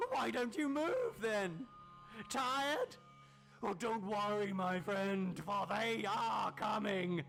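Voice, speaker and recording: A crazed, hateful male scientist from a horror story. He speaks slowly in a high, strained voice, savouring every taunt with theatrical menace. Music plays behind him. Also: creaky, heavily acted. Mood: taunting, frenzied. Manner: theatrical, taunting, drawn-out words, shouted outbursts. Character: mad, gloating male scientist raving in hatred. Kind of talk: dramatic horror audiobook character reading.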